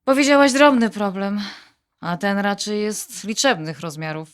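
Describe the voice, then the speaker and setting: A woman's voice: medium pitch, expressive, normal speed, close to an everyday voice. An actress playing a worried, wry adult daughter confiding her troubles in a naturalistic audio drama. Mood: exasperated, wry.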